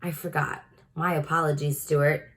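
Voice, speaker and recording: A kindly dentist and proud tinkerer from a children's storybook, acted out by a woman. He explains things instructively in a lowish voice and is quick to get excited. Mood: apologetic.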